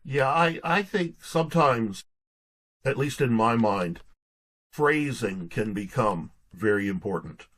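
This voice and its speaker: A man's voice: medium pitch, expressive, slow, fairly bright tone. A man speaking as a guest author in an interview, conversational, often restarting and repeating his words as he goes.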